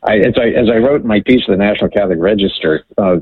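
Deep gravelly man on a phone line. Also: dry recording. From Hawaii. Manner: earnest, measured storytelling. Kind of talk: radio interview by phone. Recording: tinny, dry phone line.

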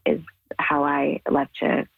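Female interview guest, conversational, slightly low register, narrow telephone-like audio with no room echo. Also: monotone, slow, gravelly, soft background music. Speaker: radio guest, dance group artistic director. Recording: muffled phone-like line, very dry.

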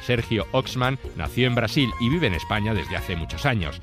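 A man's voice: medium pitch, moderate pace, music in the background. A man hosting a cinema program, introducing a guest in a scripted, informative way. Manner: lively, informative presenting. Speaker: program host. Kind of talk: scripted presenting.

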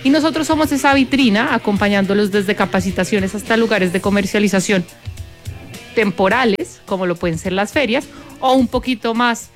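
A woman with a Bogotá Colombian accent, a guest on a talk program, explaining her work in measured, step-by-step answers. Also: medium pitch, faint music behind. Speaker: guest, corporate sustainability manager.

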